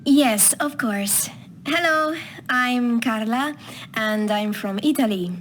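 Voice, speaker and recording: A woman's voice: fairly high pitch, takes it slowly, a bit bright, slight background noise. A woman playing a student introducing herself in a scripted audio dialogue.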